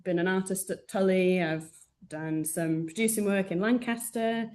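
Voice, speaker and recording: A woman chats as a guest in an informal interview. She has a mid-pitched voice and an easygoing way of talking about her work. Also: fairly even intonation, normal speed, darkish tone. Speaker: arts programme associate, interview guest.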